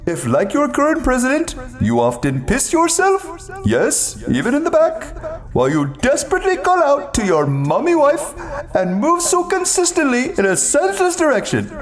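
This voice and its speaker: Shrill, melodramatic horror-show announcer. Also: male voice, huge pitch swings, moderate pace, full character voice. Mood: mock-menacing. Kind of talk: theatrical horror story introduction.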